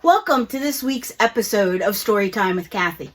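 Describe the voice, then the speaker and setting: A woman hosting a children's storytime, reading aloud in an expressive, deliberate storytelling way, with music behind her. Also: mid-range voice. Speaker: host and story reader. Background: background music.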